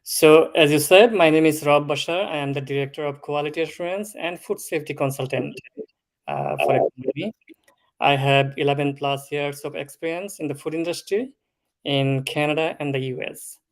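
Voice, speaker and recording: A man from Bangladesh in his thirties, a food safety consultant answering interview questions in a measured, explanatory way with frequent pauses. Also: on the high side. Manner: slow, measured, explanatory with filler ums.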